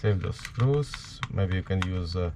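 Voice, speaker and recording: A man with a heavy Ukrainian accent, giving a hands-on tutorial at a workbench in a halting way, full of fillers. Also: deep voice, lively intonation, background noise. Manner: halting, many fillers, talks while working. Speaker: prop maker.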